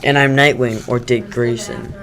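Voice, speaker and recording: A man's voice: medium pitch, fairly even intonation, moderate pace, vocal fry, fairly bright tone, rough recording. A man playing an easygoing young masked hero in an amateur audio drama.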